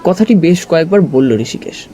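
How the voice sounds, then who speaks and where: An actor playing a detective's curious companion, narrating the story in the first person with expressive storytelling. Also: male voice, a little high, moderate pace, slight background noise, close to an everyday voice. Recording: music under the voice.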